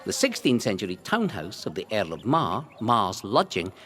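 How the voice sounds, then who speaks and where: A Scottish man reading a scripted history narration in a dramatic, deliberate storytelling way. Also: fairly low pitch, very animated, fairly bright tone. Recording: long hall-like reverb.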